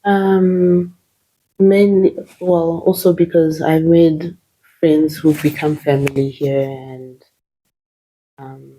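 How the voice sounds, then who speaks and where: A woman from Zimbabwe, Shona her first language, talks as an interview guest. Her voice sits low and sounds mellow and soft. She talks hesitantly, with fillers and restarts. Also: steady tone, slow, long pauses, slight background noise, poor audio.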